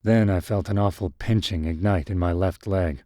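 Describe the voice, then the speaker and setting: Male narrator, deep and measured, dead-dry read. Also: dry recording. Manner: measured, even storytelling. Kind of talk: scripted first-person story narration.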